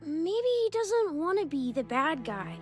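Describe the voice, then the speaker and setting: A timid, curious little kid in a game. The voice is extremely high and lively, with music clearly behind it. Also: female voice, a little slow. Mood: worried, curious. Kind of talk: dramatic game dialogue.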